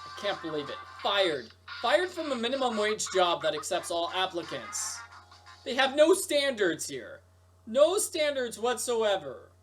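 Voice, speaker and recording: A woman's voice: medium pitch, very animated, a little slow, faint music behind, poor audio. A woman voicing a fed-up, sarcastic young male pizza worker who complains bitterly in a story. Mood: disbelieving, bitter. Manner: exasperated, complaining.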